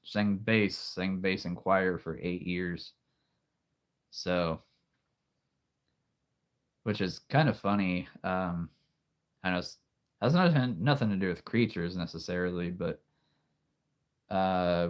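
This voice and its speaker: Deep-voiced guy hosting a stream, really low and slow, soothing storyteller feel, clears his throat a lot. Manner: soothing, calm storyteller delivery; rambling, with frequent restarts and soft laughs. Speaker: livestream host. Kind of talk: casual live-stream chat.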